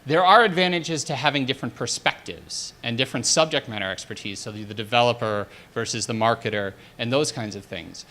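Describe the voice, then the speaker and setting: Bright-voiced man presenting at a conference. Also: medium pitch, fairly quick, few pauses. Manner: direct, energetic, asks the room. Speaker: conference speaker. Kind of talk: conference talk with Q&A.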